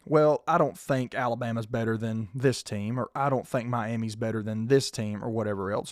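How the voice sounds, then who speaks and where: A man, hoarse and losing his voice, hosting a casual sports podcast and keeping his volume down. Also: medium pitch, a little mellow, no room sound, studio quality. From South Georgia, US. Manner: restrained, holding his volume down. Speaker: podcast host.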